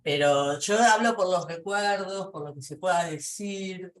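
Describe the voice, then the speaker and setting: A woman's voice: lowish voice, a little slow. A middle-aged woman with an Argentine accent, a psychoanalyst telling clinical anecdotes in a seminar discussion. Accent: Argentine Spanish. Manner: conversational, tells clinical anecdotes.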